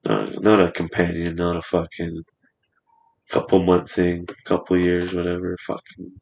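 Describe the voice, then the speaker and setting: Young man in his twenties, very deep voice, flat delivery, rambling, swears casually, podcast studio. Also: measured pace, vocal fry. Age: 20s.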